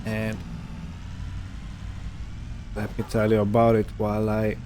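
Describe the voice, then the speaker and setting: A man giving casual roleplay gameplay commentary in a hesitant, rambling, unhurried manner. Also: fairly low pitch, monotone, a little mellow, slight background noise. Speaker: gaming video host.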